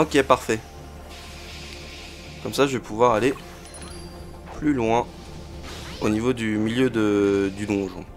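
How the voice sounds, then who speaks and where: Chatty man with a cold commenting gameplay. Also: lowish voice. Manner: chatty, many fillers and interjections. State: has a cold. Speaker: let's play host.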